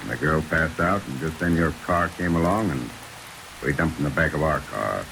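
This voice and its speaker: Low voiced hardboiled detective, blunt n dry, old radio show, loud rain hiss over it. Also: male voice, expressive, normal speed, creaky, heavily acted. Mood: defiant, blunt. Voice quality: deep. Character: tough, skeptical male detective under arrest. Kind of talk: 1940s radio drama acting. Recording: old radio recording under hissing rain.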